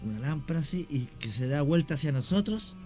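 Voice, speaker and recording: Halting man telling a story. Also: on the high side, expressive, over music. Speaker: guest, paranormal investigator. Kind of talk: radio talk show storytelling.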